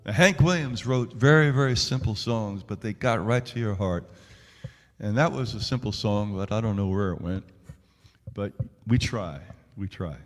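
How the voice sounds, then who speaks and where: An American man, a singer-songwriter chatting casually between songs at a live show, halting and rambling in a self-deprecating way. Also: lowish voice, big-room sound, faint music behind. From United States.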